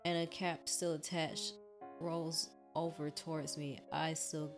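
A woman doing a let's play, reading a visual novel aloud and exclaiming expressive reactions. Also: sits low, slow pace. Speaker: gaming streamer. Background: game music.

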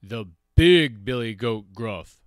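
A storyteller voicing a big, fearless billy goat, speaking loudly and defiantly. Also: medium pitch, expressive, clear, resonant tone, dry recording, studio quality. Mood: bold defiance. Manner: loud, slow, defiant.

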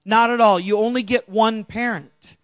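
A middle-aged man with a loud voice giving an animated tech conference talk on stage, quizzing the audience as he goes. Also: high voice, normal speed, no room sound. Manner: animated, jokey, asks the audience questions. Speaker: conference speaker. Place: large auditorium stage. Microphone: handheld microphone.

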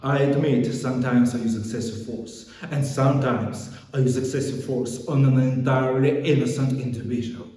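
A man acting a vengeful, self-righteous police interrogator in an intense theatrical monologue, shifting between hushed menace and outbursts. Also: mid-range voice, steady tone, normal speed, hardly any pauses, natural voice. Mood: defiant menace. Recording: echoey hall, long reverb, background noise.

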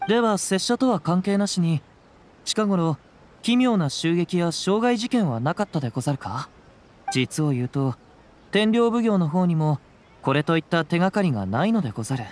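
A man playing a gentle, humble young wandering samurai in an anime game, with calm, courteous, archaic speech. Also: high-pitched, normal speed, slight background noise. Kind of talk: Japanese anime game dialogue. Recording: music under the voice.

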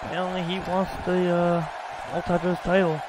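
A man commentating his own wrestling video game match in loud, excitable play-by-play, exclaiming and swearing at the big moves. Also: high-pitched, normal speed. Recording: loud game crowd and noise behind.

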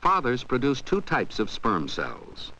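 A man reading scripted educational film narration in a measured, explanatory way. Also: medium pitch, huge pitch swings, pushed and bright. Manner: measured, explanatory, poses questions to the viewer. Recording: dull 1970s 16mm film soundtrack.

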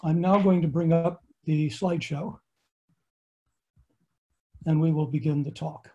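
A man's voice: fairly high pitch, steady tone, measured pace, dark tone, highs cut off. An older American man, an astronomer, giving a friendly slideshow lecture from his home study over a video call. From United States.

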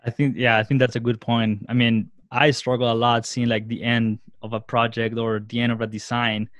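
Even-toned young man hosting. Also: medium pitch, moderate pace, no echo, dull audio. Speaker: podcast host. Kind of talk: casual interview.

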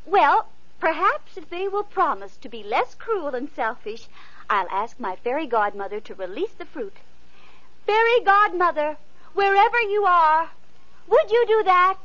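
Sweet polite young girl, high and lively, old muffled radio sound. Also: measured pace, exaggerated voice. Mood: cheerful. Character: gentle kind-hearted young girl, mistreated sister. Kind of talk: 1940s radio acting.

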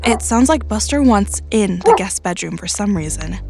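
A woman's voice: mid-range voice, lively intonation, moderate pace, gravelly, loud music behind the voice. An actress playing an anxious, caring young woman in naturalistic drama dialogue. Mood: worried.

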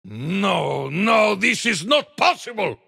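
A man voicing a big, blustery alien mad scientist in a racing video game, with a Russian accent and boastful, theatrical exclamations in a gravelly rasp. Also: high-pitched, big pitch swings, slow, pushed and bright, exaggerated voice. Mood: disappointed. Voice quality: gravelly, raspy. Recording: long reverb tail.

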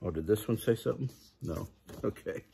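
A middle-aged man with a husky voice reading a children's storybook aloud, with casual asides and questions to the young listeners. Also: lowish voice, expressive, brisk, mellow, a little hiss or hum. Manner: chuckles often, asks the listening children questions. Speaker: grandpa reading picture books aloud for kids. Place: living room, indoors.

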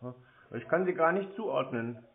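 A man's voice: medium pitch, animated, normal speed. Terse, dry man on a dull line. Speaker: scam-baiter taking scam calls. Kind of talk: casual phone conversation. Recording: dull lo-fi phone call audio.

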